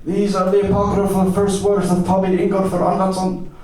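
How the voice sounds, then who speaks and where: A man's voice: sits high, monotone, fairly quick, darkish tone, voice pushed up, close to an everyday voice. A man voicing a deranged, grandiose and pleading American drifter in a dramatic story reading. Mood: manic, pompous. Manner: pompous declarations, desperate insistence. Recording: echoey room, distant from mic.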